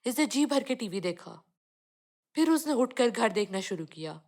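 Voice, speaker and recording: A woman's voice: a little high, big pitch swings, normal speed, darkish tone. A woman reading a scripted children's cartoon story as the narrator.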